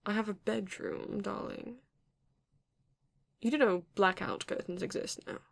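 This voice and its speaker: A woman playing a courteous, bashful vampire in an intimate ASMR roleplay, speaking haltingly and formally with a gravelly, creaky voice. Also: mid-range voice. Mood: hospitable, bashful. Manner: hesitant, halting, formal yet endearing. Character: courteous, bashful vampire living alone in a castle.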